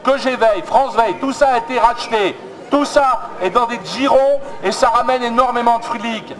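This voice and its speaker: A man speaks as a protester at a public meeting, his voice pitched very high. He is insistent and accusatory, with a crowd clearly audible behind him. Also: normal speed. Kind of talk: activist speech.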